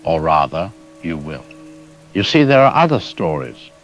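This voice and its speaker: Very deep, suave, sinister storyteller played by a man. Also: big pitch swings, a little slow, muffled recording, soft background music, full character voice. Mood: sly, knowing. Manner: suave, musing, ominous. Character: sardonic, urbane, sinister host of dark tales. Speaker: narrator. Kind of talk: theatrical scripted audio drama narration.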